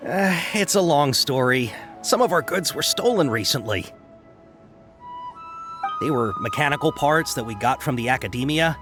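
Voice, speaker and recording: A worried male caravan merchant voiced by a man in a video game. His pitch swings in a lively way as he explains himself, apologetic and hesitant. Music is clearly audible underneath. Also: normal speed, close to an everyday voice. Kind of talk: English game dub dialogue.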